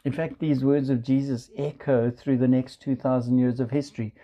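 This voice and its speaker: A man with a South African accent, preaching a measured sermon and quoting scripture. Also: mid-range voice, flat delivery, dull audio. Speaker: pastor.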